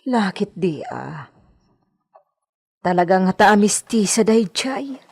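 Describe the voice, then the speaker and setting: A woman's voice: medium pitch, big pitch swings, slow, gravelly, darkish tone, breathy edge, full character voice. A woman with an Ilocano accent playing a deferential, chatty household maid in a radio drama.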